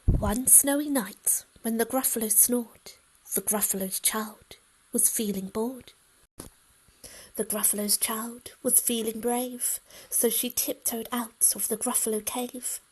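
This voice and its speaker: A woman reading a children's story aloud in an expressive, dramatic way, often hushed. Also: a little high, slow, breathy edge, low-quality recording. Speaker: storybook reader.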